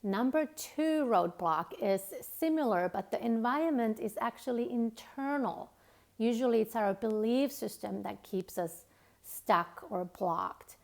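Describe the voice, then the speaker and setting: A woman's voice: on the high side, unhurried, vocal fry. A woman from Finland with a Finnish accent, her native tongue being Finnish, giving a reflective motivational talk with frequent fillers and restarts.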